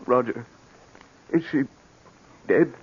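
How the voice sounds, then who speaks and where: A man's voice: mid-range voice, lively intonation, slow, breathy edge. A man playing a desperate, lovesick husband in a pleading, theatrical 1940s radio melodrama style. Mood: stunned. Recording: muffled vintage radio recording.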